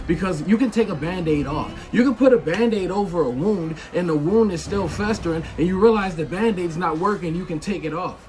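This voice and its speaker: A man streamer ranting in loud, emphatic, profane commentary. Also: high voice. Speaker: game streamer.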